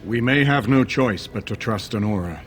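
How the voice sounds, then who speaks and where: A man's voice: on the low side, animated, moderate pace, close to an everyday voice. An actor playing a dignified older nobleman and strategist in a fantasy video game, speaking formally and with measure. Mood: measured, grave. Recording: game audio with music underneath.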